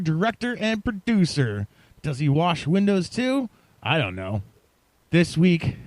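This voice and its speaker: A man's voice: a little high, no room sound. A man hosting a podcast in an effusive, showman-like manner, speaking directly to his listeners. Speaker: podcast host. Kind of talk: enthusiastic podcast introduction and interview.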